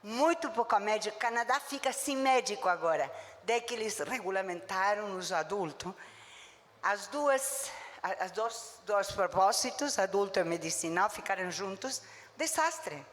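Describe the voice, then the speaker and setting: A woman's voice: medium pitch, expressive, measured pace, a bit bright. Woman doc giving a blunt, punchy lecture, big echoey conference hall. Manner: emphatic and blunt, with short punchy verdicts. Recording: long reverb of a lecture hall.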